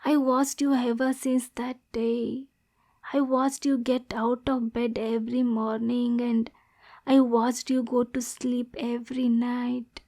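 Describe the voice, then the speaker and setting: A woman playing a lonely, lovesick demon girl in an intimate ASMR roleplay, softly spoken and breathy, nervous yet possessive. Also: fairly high pitch, level tone, takes it slowly. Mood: tender, infatuated.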